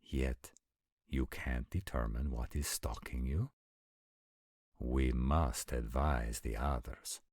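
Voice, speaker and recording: Male actor as protective ancient supernatural warrior for roleplay audio: extremely low voice, formal measured diction, dark tone. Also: no echo, clean studio sound, full character voice. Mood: puzzled, questioning. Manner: formal, measured, stilted diction with deliberate pauses. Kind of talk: scripted roleplay audio acting.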